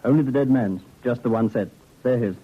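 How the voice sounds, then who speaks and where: A man's voice: medium pitch, brisk, a little hiss or hum, natural voice. An actor playing a matter-of-fact junior policeman giving terse, deferential reports in a vintage radio drama. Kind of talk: 1950s radio drama acting. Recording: thin, narrow old radio recording.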